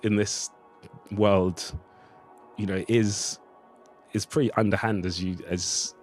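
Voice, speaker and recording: A man's voice: fairly low pitch, steady tone, takes it slowly, faint music behind. A man giving a thoughtful, discursive interview as a writer, speaking in a reflective conversational way. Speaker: writer of a radio play, interviewee.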